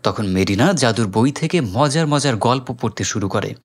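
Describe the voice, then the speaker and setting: A man's voice: medium pitch, expressive, normal speed. A man with a Bangladeshi accent narrates a film recap as scripted storytelling.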